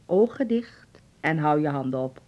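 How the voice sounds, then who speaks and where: An actress playing a doting but sharp-tongued middle-aged mother who fusses, in a Dutch radio drama. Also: deep voice, animated, moderate pace, dull audio. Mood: tender, fussing.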